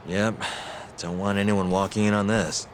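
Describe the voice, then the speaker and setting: An actor playing a cool, principled lawyer-detective in a video game cutscene, with a dry, wry manner. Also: male voice, lowish voice, level tone, normal speed, background noise, natural voice. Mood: calm.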